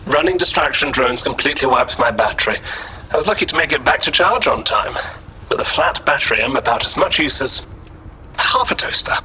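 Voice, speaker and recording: A man's voice: mid-range voice, animated, brisk, pushed and bright, a little hiss or hum, poor audio. A man playing a prim, defensive robot companion in an audio drama, speaking with formal, unflappable precision. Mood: formally apologetic.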